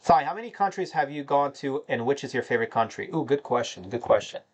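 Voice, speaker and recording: A man's voice: mid-range voice, expressive, quick pace. A man in his thirties from North Carolina, casually chatting and answering viewer questions on a livestream, rambling with many fillers. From United States (North Carolina). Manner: rambling, filler-heavy, addresses chat as "man". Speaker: livestream host answering chat.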